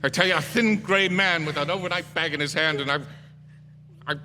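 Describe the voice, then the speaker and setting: A man's voice: fairly high pitch, bright, forceful tone, a little hiss or hum. Man acting as intense, haunted motorist in reverberant hall. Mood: agitated, insistent. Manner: intense, halting, stammering. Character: haunted, desperate young male motorist unravelling with dread. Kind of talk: theatrical radio suspense drama acting and narration. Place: theatre stage. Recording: live theatre stage, long hall reverb, music.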